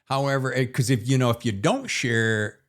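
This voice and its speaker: A man's voice: mid-range voice, normal speed. A man hosting a podcast interview in a casual, chatty way with folksy phrasing. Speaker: podcast host interviewing an author.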